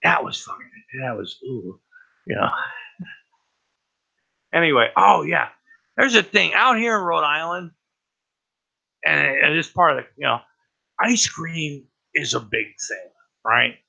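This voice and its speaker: Raspy man with a cold, bright pushed tone, rambling profane stream host. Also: on the high side, sing-song, unhurried, long pauses. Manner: profane and rambling, lots of "right?". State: has a cold. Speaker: livestream host. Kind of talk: rambling casual talk about news.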